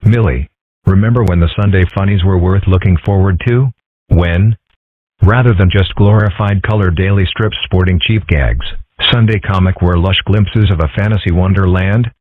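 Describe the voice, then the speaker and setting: Man as a deadpan cartoon critter, low and husky. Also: normal speed, dry recording. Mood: wry. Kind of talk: comic strip dub. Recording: muffled phone-like band.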